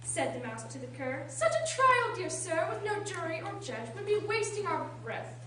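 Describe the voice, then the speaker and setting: A woman's voice: extremely high pitch, very animated, normal speed. A woman playing an indignant, easily offended mouse in a radio drama, with dramatic, declamatory delivery. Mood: dramatic storytelling. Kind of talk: theatrical radio drama acting. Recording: echoey room from far off, noisy hum.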